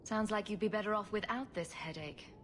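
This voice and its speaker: A woman's voice: mid-range voice, brisk, a bit bright, a little hiss or hum, close to an everyday voice. A woman playing a witty, self-assured young rogue adventurer in a fantasy game, with a wry, flippant manner. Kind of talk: video game cutscene acting.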